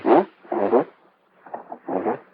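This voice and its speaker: A man's voice: mid-range voice, animated, slow pace. An actor playing a precise, fussy male gem appraiser in an old radio drama, with formal, didactic speech. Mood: demonstrating. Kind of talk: classic radio drama acting. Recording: tinny, noisy old radio recording.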